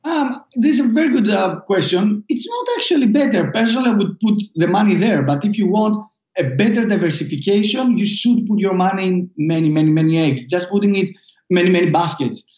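A man with a Greek accent, a founder answering interview questions in a halting way, full of fillers and restarts. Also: sits high, animated, darkish tone. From Greece. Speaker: startup founder guest. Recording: echoey, dull lo-fi sound.

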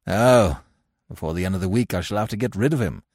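A man playing a fussy young gentleman who talks in an irritable, flustered way. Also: low-pitched, fast talker, breathy edge, no echo, clean studio sound. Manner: peevish, flustered.

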